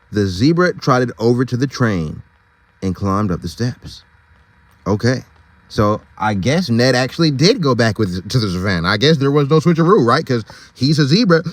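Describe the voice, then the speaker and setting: A man's voice: mid-range voice, no echo. A man reading a storybook aloud, breaking off into slangy, informal asides and easily sidetracked. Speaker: storybook reader.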